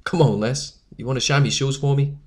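A man's voice: medium pitch, steady tone, fairly quick, natural voice. A man voicing a cocky, streetwise newsboy leader in a fan audio drama.

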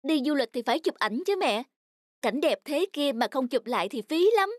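A woman voicing an earnest, touchy young girl in a cartoon, an expressive big sister who gets whiny when crossed. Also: high voice, normal speed, no room sound, clean studio sound. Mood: eager. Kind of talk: cartoon dub.

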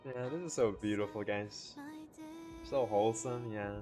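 A man's voice: lowish voice, steady tone, unhurried, mellow. A man gushing in slangy, casual livestream commentary, full of bro and dude, as he reacts to a game. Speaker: live streamer reacting to game cutscenes. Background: game music behind the voice.

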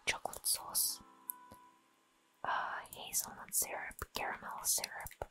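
A woman's voice: measured pace, music in the background. A woman whispering playful, giggly commentary as she plays a barista game, in the manner of ASMR. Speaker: gamer playing a barista game.

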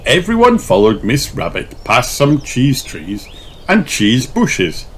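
A man reading a children's storybook aloud in an expressive, animated way. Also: mid-range voice, slow pace, a little hiss or hum. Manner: expressive, putting on character voices. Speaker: children's book reader.

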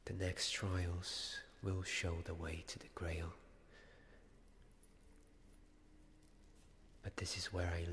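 A young man playing a devoted, weary knight in a soft-spoken ASMR roleplay, halting and often slipping into a whisper. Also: sits low, slight background noise. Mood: tender farewell. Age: young adult. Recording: long cavernous reverb, faint background ambience.

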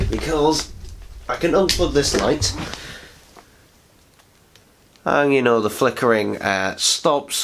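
A man's voice: mid-range voice, fairly bright tone, a little hiss or hum. Nasal young man with a cold. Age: young adult. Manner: chatty, rambling, self-mocking asides. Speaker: vlogger. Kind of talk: casual unboxing chat. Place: living room at night, indoors. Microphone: camera on a tripod, sitting close.

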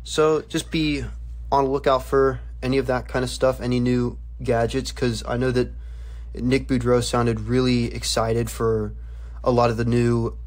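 A man's voice: mid-range voice, little pitch movement, a little slow, a little mellow. A young man explaining things as a student reporter in an informal, conversational interview, phrasing his answers loosely. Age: young adult.

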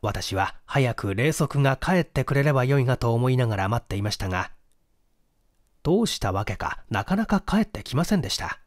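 A man reads a literary mystery aloud like a dramatic storyteller. His intonation rises and falls widely, yet the phrasing stays measured and formal. The recording is close and fairly dry. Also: medium pitch, very animated, dry recording. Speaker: audiobook reader, stage actor. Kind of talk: scripted mystery story narration, literary reading.

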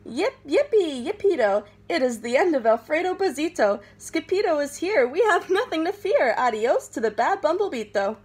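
A woman's voice: high voice, sing-song, moderate pace, hardly any pauses, a bit bright, pitched above the performer's own voice. A woman voicing a dignified male dog leader with big ears, speaking in a solemn, declaring way.

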